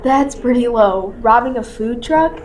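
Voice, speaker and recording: Radio play role: sassy, melodramatic young gossip played by a woman, high voice, distant mic, shallow and dramatic. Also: a little slow, a little mellow, natural voice. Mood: disdainful. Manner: sassy, melodramatic girl talk. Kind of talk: amateur radio play acting. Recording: roomy, far from mic, crowd behind.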